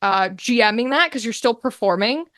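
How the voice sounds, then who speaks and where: A woman speaking as a guest in a casual interview, talking as an actor and game master, animated and given to acting out imagined quotes. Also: a little high, moderate pace, dry recording, studio quality.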